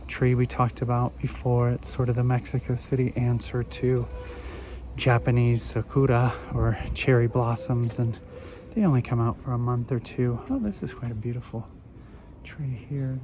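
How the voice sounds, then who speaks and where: A man with a hoarse voice, still recovering from an illness, strolls a residential street and gives a rambling walking-tour commentary full of ums. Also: medium pitch, fairly even intonation. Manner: rambling, unhurried, many fillers. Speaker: vlogger. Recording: dull outdoor phone audio with traffic. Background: street traffic.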